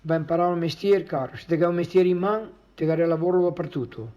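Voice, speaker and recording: A man's voice: a little high, a little fast. A man with a Venetian accent, narrating as a storyteller for his emigrant community. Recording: dull lo-fi recording.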